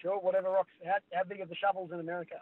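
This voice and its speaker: A man's voice: high voice, flat delivery, rapid-fire, no room sound. An Australian man with a dry, wry manner, taking a business call as a company representative. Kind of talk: business phone conversation. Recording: muffled narrow telephone line.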